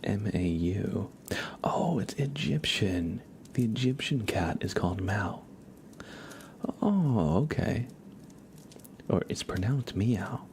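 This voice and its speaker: Deep-voiced man, soft ASMR half-whisper. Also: expressive, slow, long pauses, a little hiss or hum. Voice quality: soft and breathy, often dropping into a whisper. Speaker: livestream host. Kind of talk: ASMR livestream, soft-spoken casual chat with viewers. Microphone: close ASMR microphone.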